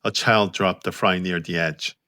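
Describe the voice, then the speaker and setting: Very deep-voiced man narrating an audiobook. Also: fairly even intonation, moderate pace, sharp tone, clean tone. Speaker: author and narrator.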